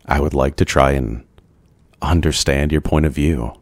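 A man voicing a brooding, lonely ancient vampire in an ASMR roleplay, gentle, courteous and soft-spoken, with hesitant pauses. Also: extremely low pitch, steady tone, no room sound. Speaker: roleplay voice performer. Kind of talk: ASMR boyfriend roleplay, scripted.

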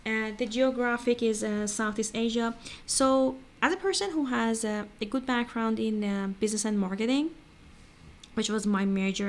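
A woman giving a casual, hesitant and rambling screen-share walkthrough as an online vlogger. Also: a little high. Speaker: crypto vlogger. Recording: clear background noise.

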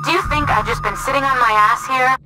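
A woman's voice: sits high, a little fast, music in the background, low-quality recording. A woman playing a brash, defensive tech ally speaking over the radio in a video game. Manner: brash, swearing.

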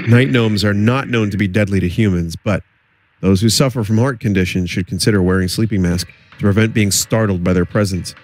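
Low-voiced man streaming a game. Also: darkish tone. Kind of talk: live game commentary, reading game text aloud.

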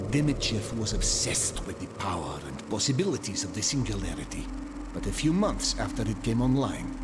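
An actor playing a grave, embittered scientist, narrating a game cutscene in a solemn, deliberate way. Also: male voice, lowish voice, noisy background, close to an everyday voice. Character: grave, embittered male scientist recounting catastrophe.

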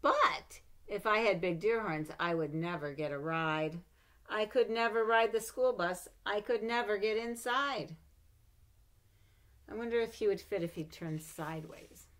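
A middle-aged woman reading a children's picture book aloud at home, expressive and animated, with playful asides between pages, recorded on a webcam. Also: medium pitch, unhurried. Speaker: storybook reader. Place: home room with bookshelves. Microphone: webcam at desk.

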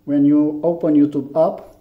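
A man from Romania with a Romanian accent, English not his first language, giving a halting phone tutorial with many fillers. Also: a little high, little pitch movement. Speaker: tech tutorial maker. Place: room indoors, phone on a table. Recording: echoey, dull lo-fi room.

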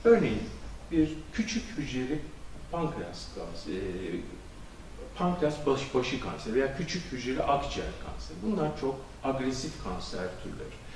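Physician lecturing in an echoey hall. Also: male voice, medium pitch, big pitch swings, darkish tone, slight background noise. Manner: measured lecturing with frequent hesitation fillers. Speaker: conference speaker, physician. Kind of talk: academic symposium talk. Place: conference hall. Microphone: handheld mic. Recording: echoey hall, distant camera audio.

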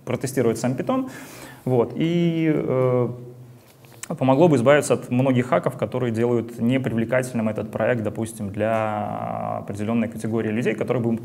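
Young male meetup speaker, gravelly, rambling and casual, on a handheld mic. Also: mid-range voice, steady tone, moderate pace, darkish tone. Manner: rambling, many fillers and restarts. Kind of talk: casual tech talk with live demo. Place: meetup hall.

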